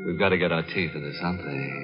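An actor playing a ghoulish, mock-genteel horror host with sly, theatrical 1940s radio delivery. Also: male voice, a bass voice, fairly even intonation, fast talker, muffled recording. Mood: ominous glee. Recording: old radio recording, loud music behind.

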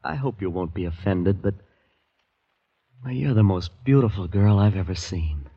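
Husky, naive young man, old radio. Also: deep voice, lively intonation, moderate pace, exaggerated voice. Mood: smitten. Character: naive, polite young man narrating his strange adventure. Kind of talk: 1940s radio acting. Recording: muffled vintage radio drama recording.

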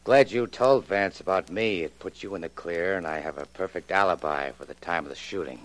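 A low male voice, an actor playing a smug American crime boss. He is blunt, menacing and self-satisfied, straight out of a 1940s radio crime drama. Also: brisk, dry recording, close to an everyday voice. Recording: old 1940s radio recording.

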